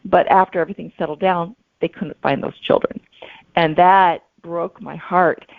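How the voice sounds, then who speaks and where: Female author guest, gravelly fry in the voice, reflective casual explanations, narrow telephone-like sound. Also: fairly low pitch, fairly even intonation, moderate pace, no room sound. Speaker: guest novelist. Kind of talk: casual interview talk. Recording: thin phone-like audio.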